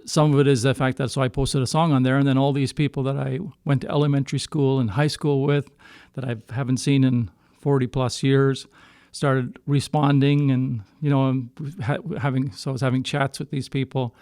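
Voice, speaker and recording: A middle-aged man from Canada telling a story casually as a guest, halting and often circling back to rephrase. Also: mid-range voice, flat delivery, a little mellow, clear, resonant tone, no room sound, clean studio sound. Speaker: singer-songwriter guest. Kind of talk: casual storytelling in a podcast interview.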